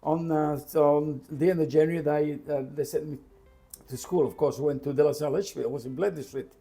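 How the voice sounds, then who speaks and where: Older Calabrian grandfather, heavy Italian accent, gravelly husky voice, halting chat over faint music. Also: fairly high pitch, steady tone, dark tone. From Calabria, Italy. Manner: halting, repeats words, mixes in Italian. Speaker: home cook. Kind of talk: casual chat while cooking.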